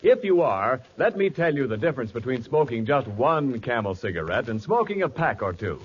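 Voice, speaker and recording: A man's voice: medium pitch, moderate pace, lo-fi sound. A man announcing and reading a cigarette ad in a persuasive 1940s radio style. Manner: smooth, persuasive, lively.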